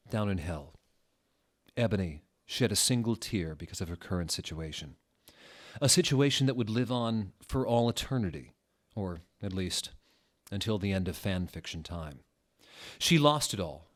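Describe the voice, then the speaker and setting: A man with a low voice reads a story aloud in a mock-dramatic style. He swings between dry, deadpan delivery and sudden theatrical outbursts. The reading sounds staged on purpose. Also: moderate pace. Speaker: dramatic reader.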